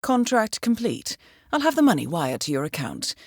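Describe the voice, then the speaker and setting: A woman playing a cool, composed intelligence handler in a video game, giving mission briefings in a measured, formal, dryly witty manner. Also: medium pitch, big pitch swings, no echo, clean studio sound. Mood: composed, businesslike.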